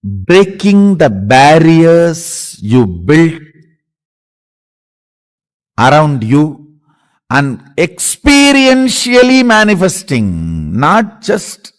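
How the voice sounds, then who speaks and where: A man with an Indian accent giving a spiritual discourse as a guru, speaking emphatically in halting, short, repeated phrases. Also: a little high, huge pitch swings. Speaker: spiritual guru teaching a course.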